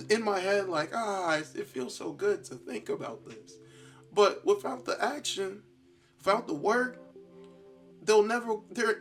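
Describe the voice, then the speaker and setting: A man hosting a solo podcast in a tired, worn voice, thinking aloud casually and haltingly. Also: high-pitched. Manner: halting, rambling, self-reflective. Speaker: podcast host.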